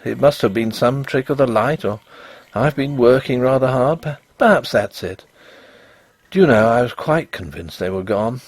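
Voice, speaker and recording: Man playing shaken Victorian husband, husky voice. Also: medium pitch, animated, moderate pace, no echo. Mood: embarrassed, hesitant. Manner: polite, earnest, old-fashioned phrasing. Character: shaken, defensive husband in a Victorian ghost story.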